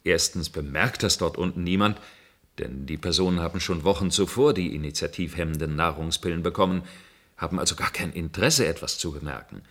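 A man's voice: low voice, brisk, fairly bright tone. An actor playing a dutiful, formal control engineer who reports to his superior in a German science-fiction radio play. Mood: deferential, defensive. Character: deferential male control engineer serving a dystopian bureaucracy.

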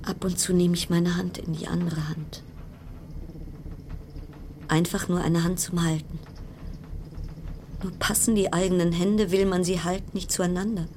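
An actress in a German radio play voicing a frayed, suspicious cruise ship passenger in a brooding monologue of broken-off, repeated phrases. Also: female voice, fairly low pitch, level tone, a little slow, long pauses, a little mellow, a little hiss or hum, close to an everyday voice. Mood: brooding, resigned. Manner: fragmented, broken-off sentences, repeating words. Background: music.

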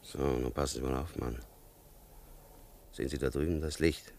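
An actor playing a curt, bossy, dutiful police officer in a German radio play, speaking in terse, matter-of-fact orders. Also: male voice, rumbling low, steady tone, moderate pace, vocal fry, a little mellow. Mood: hushed, instructing.

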